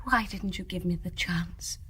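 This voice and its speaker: An actress playing an anguished, guilt-stricken young wife in a radio drama, fragile and emotional. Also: female voice, fairly low pitch, unhurried, sharp tone, breathy edge, heavily acted. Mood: hushed, shocked.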